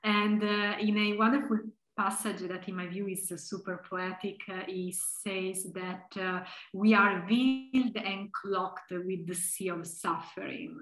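A young woman from Italy, a philosophy scholar being interviewed, speaking thoughtfully with many filler pauses. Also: mid-range voice, fairly even intonation, a bit bright, echoey room, low-quality recording. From Italy (Tuscany). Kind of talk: reflective conversational interview.

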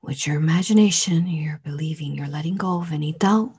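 A woman leading a guided meditation in a soothing, hypnotic way, with drawn-out, repeated phrases and a breathy voice that often drops into a whisper. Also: sits low, a little mellow.

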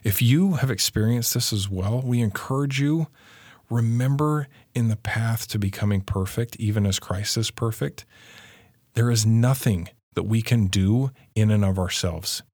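Low, husky man teaching scripture. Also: unhurried, a little mellow, no room sound, studio quality. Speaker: co-presenter of a religious lesson.

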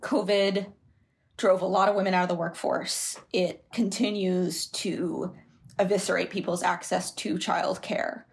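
A woman's voice: lowish voice, steady tone, a little slow, creaky. A woman columnist appearing as a podcast guest, giving considered, articulate, analytical commentary in a conversational way.

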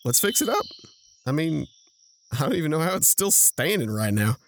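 Casting: actor as handy, exasperated male sibling for audio drama, swooping intonation, rough creaky edge. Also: fairly high pitch, very animated, normal speed, a little hiss or hum. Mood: patient, caring. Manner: wry, incredulous, instructive. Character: exasperated, practical, protective brother, handy and patient.

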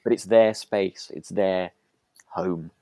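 A man's voice: low voice, fairly even intonation, slow pace, dark tone, dry recording. Young male co-host, dry close recording, casual drama discussion, hesitant and self-deprecating delivery. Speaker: podcast co-host.

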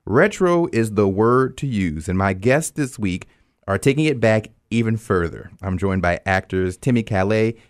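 A man with an American accent and a fairly low voice, hosting a radio arts show. He talks to listeners directly and conversationally, with a close, dry studio sound. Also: expressive, moderate pace, dry recording. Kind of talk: scripted announcements and interview hosting.

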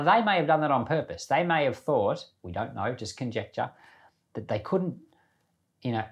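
Conversational man giving informal Bible teaching. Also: mid-range voice. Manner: conversational, poses questions to listeners. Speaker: Bible teacher and podcast host.

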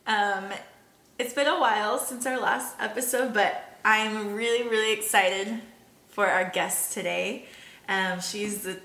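A woman's voice: medium pitch, steady tone, measured pace, bright, forceful tone. A woman hosting a podcast in a casual, chatty style, recorded in a roomy, echoey space. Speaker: podcast host. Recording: roomy echo.

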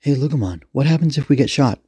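A man voicing an excitable, naive and cheeky young male hacker in a story, exclaiming and innocent-sounding. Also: medium pitch, fast, slightly rough, no echo, voice pushed up. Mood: nervous.

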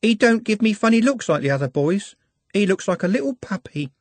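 A man's voice: high voice, big pitch swings, a little fast, no room sound, pitched above the performer's own voice, heavily acted. A man voicing a dreamy, lovestruck young woman who works as a kitchen assistant.

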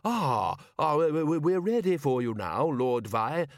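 Guy doing a loud stammery old wizard, high and blustery. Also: big pitch swings, unhurried, no room sound, clean studio sound. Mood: flustered. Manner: bellowing, stammering. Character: flustered blustering old male wizard leader.

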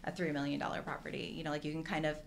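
Low, gravelly-voiced chatty woman. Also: little pitch movement, rapid-fire, slight background noise. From Hawaii. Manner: chatty and earnest, many "like"s and "you know"s. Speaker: podcast guest, real estate agent. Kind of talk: casual interview chat.

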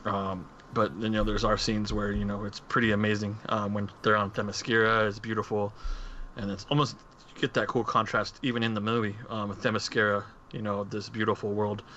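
A man's voice: on the low side, flat delivery, brisk, pushed and bright. A man co-hosting a podcast in casual discussion, heard through dull, lo-fi audio with faint noise. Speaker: podcast co-host. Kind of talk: casual movie-discussion chat.

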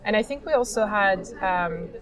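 A woman from France, answering interview questions in a measured way with frequent ums at a conference. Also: medium pitch, lively intonation, poor audio. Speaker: industry association leader. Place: conference venue. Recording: busy crowd chatter behind.

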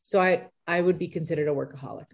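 A woman in her thirties, an entrepreneur answering interview questions in a chatty, lively way with many fillers. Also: lowish voice, very fast, gravelly. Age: 30s. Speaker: entrepreneur guest.

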